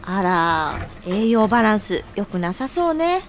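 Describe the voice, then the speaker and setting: A woman's voice: medium pitch, big pitch swings, measured pace, a little hiss or hum. An actress playing a sociable, inquisitive woman who teases and fusses over her friends in an audio drama. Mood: fussing. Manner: feminine, chatty, nudging. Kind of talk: scripted audio drama acting.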